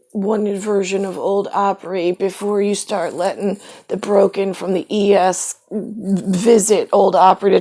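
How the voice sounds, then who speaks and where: A woman's voice: medium pitch, vocal fry, darkish tone. A woman in a rambling monologue, quoting her own conversations with sudden bursts.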